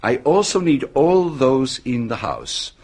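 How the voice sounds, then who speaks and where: An actor playing a shrewd, courteous foreign detective in a radio drama, questioning formally and turning statements into gentle questions. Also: male voice, medium pitch, sing-song, takes it slowly, breathy edge, heavily acted. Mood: courteous, coaxing.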